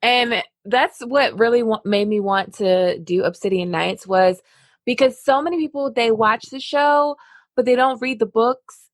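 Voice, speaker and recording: A woman's voice: fairly high pitch, measured pace, no echo, studio quality. A woman hosting a podcast, chatting casually with many "like" fillers. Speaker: podcast host.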